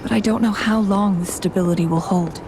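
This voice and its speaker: An actress playing a calm, earnest young female companion in a video game, speaking in a measured, formal, soft-spoken way. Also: medium pitch, background noise, natural voice. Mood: calm, solemn. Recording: loud background music. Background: game music.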